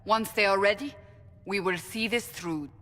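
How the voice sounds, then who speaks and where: A woman's voice: mid-range voice, moderate pace, sharp tone, long reverb tail, natural voice. An actress playing a stern, blunt woman warrior in a video game, speaking firmly and commandingly. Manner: firm, clipped, commanding.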